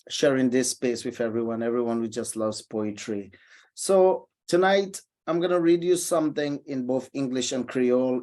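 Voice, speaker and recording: A man introducing and reading his own poem at a poetry event, speaking in a slow, heartfelt way. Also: mid-range voice, a little mellow. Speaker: poet reading his work.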